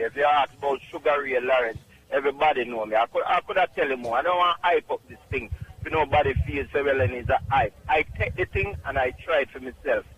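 Middle-aged Jamaican caller, emphatic and halting, slightly high voice, faint line hiss. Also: male voice, a bit bright, muffled recording. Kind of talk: radio call-in testimonial.

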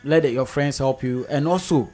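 A man with a Ghanaian accent hosting a radio interview, giving animated advice and often repeating himself. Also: mid-range voice, normal speed, faint music behind. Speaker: radio host. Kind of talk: casual interview chat.

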